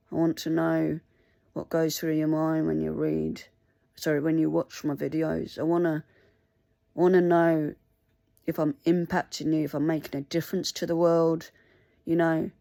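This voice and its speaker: Woman vlogger, Mandarin native, slow earnest delivery, low gravelly fry. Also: a little mellow, no echo. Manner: earnest, pleading, halting. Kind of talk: casual talk to camera.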